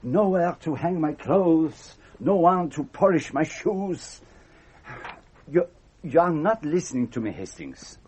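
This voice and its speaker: An actor playing a fussy, shrewd middle-aged detective with a precise, pompous delivery in a radio drama. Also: male voice, fairly high pitch, lively intonation, takes it slowly, a little mellow, breathy edge, exaggerated voice. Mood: fussy, reproving.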